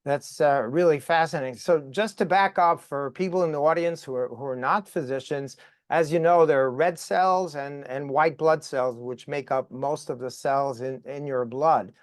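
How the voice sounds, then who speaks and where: A man hosting a podcast interview over video call, raspy from a recent cold, enthusiastic and full of fillers. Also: fairly high pitch, dry recording, studio quality. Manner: enthusiastic, halting with many fillers. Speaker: podcast host.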